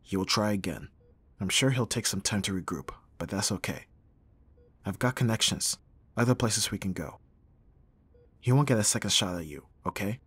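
A man playing a gruff but tender young bodyguard in an intimate ASMR roleplay, speaking softly with sighs and a husky voice. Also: on the low side, dry recording. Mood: determined. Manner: soft, intimate, sighing, teasing.

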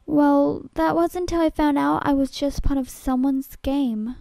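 A woman acting a bewildered, defensive girl in an amateur audition for a drama series, with dramatic, deliberate delivery. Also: high-pitched, steady tone, vocal fry, dark tone, no room sound. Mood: wistful.

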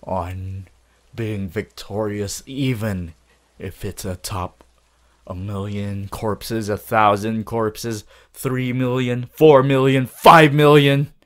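A man's voice: lowish voice, fairly even intonation. A man voicing a possessive, gloating young anime villain in a roleplay, menacing and megalomaniac. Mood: grandiose. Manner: slow, menacing, swelling from whispers to shouts.

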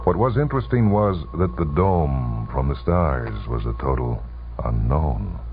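Very deep-voiced man narrating on tinny radio. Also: expressive, unhurried. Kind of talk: dramatic radio short-story reading. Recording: tinny, band-limited vintage radio broadcast. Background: music under the voice.

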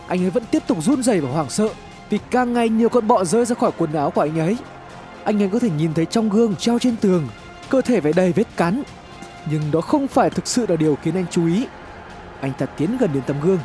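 Male narrator, high voice, lively swinging intonation, dramatic suspenseful horror storytelling, music underneath. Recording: music behind the voice. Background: background music.

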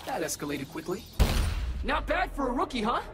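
An actor playing a wisecracking young rookie superhero in a video game, casual, slangy and cheeky. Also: male voice, very high-pitched, big pitch swings, brisk, full character voice. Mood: cocky. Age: young adult. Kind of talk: video game character acting. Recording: loud music behind.

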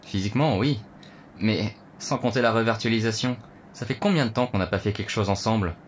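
A man playing a gentle but reproachful husband and father in an audio drama, speaking hesitantly and conciliatorily. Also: lowish voice, fairly quick, a little hiss or hum, close to an everyday voice. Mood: reproachful, careful. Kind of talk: audio drama acting, natural dialogue.

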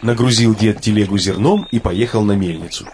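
A man's voice: on the low side, a little fast, a little mellow, a little hiss or hum. A man narrating a children's cartoon fairy tale in a scripted storytelling style.

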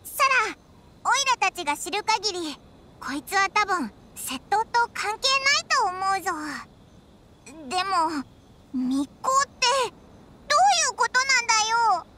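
A woman voicing a tiny childlike fairy companion in an anime game, squeaky, blunt and excitable. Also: a little slow, heavy background noise, exaggerated voice. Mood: puzzled. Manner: blunt, exaggerated, inquisitive. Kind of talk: Japanese anime game voice acting. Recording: music behind voice.

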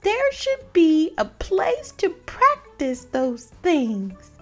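A woman's voice: extremely high pitch, wildly swooping pitch, very slow, a bit bright, soft background music, heavily acted. A woman voicing an anxious, dramatic, childish cartoon pigeon in a whiny, exclamatory way for a storybook read-aloud. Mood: panicked, fretful. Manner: exclamatory, fretful, theatrical.

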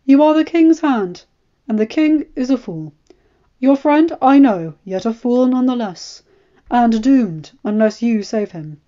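A woman reads as a shrewd court spymaster in a fantasy story. The voice is raised high, with a formal, indulgent, knowing delivery. Every phrase sounds like calculated scheming. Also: animated, unhurried, dark tone, highs cut off. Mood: smooth, knowing. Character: shrewd, smooth, scheming male royal spymaster.

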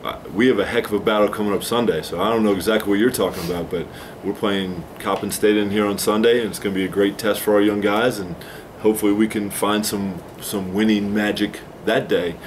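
A man in his forties talks with a slightly low voice, steady and explanatory. He answers postgame questions from a press table in a small room with a faint ambient hum behind him. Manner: measured, explanatory, takes responsibility. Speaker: head basketball coach.

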